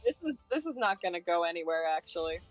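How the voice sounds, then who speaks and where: A woman chatting casually while gaming, blunt and quick to swear. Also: lowish voice, sing-song, rough recording. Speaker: gaming companion on stream. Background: music.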